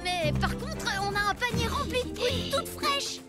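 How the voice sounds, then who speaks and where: A lively cartoon kid with a very high, childlike voice. The delivery is excitable and full of exclamations. Loud music plays behind. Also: female voice, moderate pace. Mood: eager, insistent. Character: lively young child in a dinosaur adventure. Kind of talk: French animated series dubbing.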